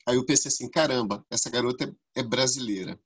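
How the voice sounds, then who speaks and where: A man from Brazil, co-hosting a casual podcast and telling animated stories that jump high when he acts out quotes. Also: fast talker, breathy edge. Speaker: podcast co-host.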